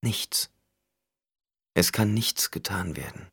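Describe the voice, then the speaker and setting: A man's voice: sits low, animated, takes it slowly, gravelly, fairly bright tone, husky, no echo, clean studio sound, heavily acted. A man voicing a grieving young male ruler in an audiobook, stern and commanding with a toneless edge. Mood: flat.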